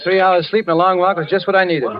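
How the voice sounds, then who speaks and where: Actor as a courteous young man, higher-pitched. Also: steady tone, fast, a crowd in the background, close to an everyday voice. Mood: polite. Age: young adult. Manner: courteous, reserved. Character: polite, guarded young male engineer in trouble. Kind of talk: 1940s radio acting. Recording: dull, dry old radio recording.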